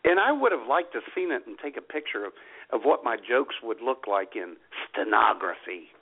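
A man's voice: mid-range voice, sing-song, moderate pace, vocal fry, breathy edge. A middle-aged man co-hosting a comedy radio show in casual, wry banter. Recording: tinny, phone-like band-limited audio.

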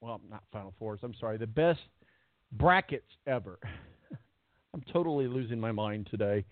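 Raspy sports radio host on a phone line. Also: male voice, lowish voice, expressive, moderate pace, no room sound. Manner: rambling, repeats words, many fillers. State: losing his voice. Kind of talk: casual radio show chat. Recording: tinny phone-line quality, dry.

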